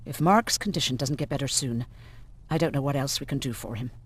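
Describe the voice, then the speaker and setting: An actress playing an earnest, resolute young resistance volunteer in a video game. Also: female voice, extremely low pitch, fairly quick, noisy background. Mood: earnest, concerned. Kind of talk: dramatic video game acting.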